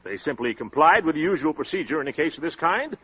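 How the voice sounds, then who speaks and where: Dull vintage radio sound carries a boastful husband in an old comedy. He is pompous and evasive, rather high for a man, with a rough edge to his voice. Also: sing-song, fast talker. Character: blustering, boastful husband and son-in-law, full of pompous talk. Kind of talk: 1940s radio comedy acting.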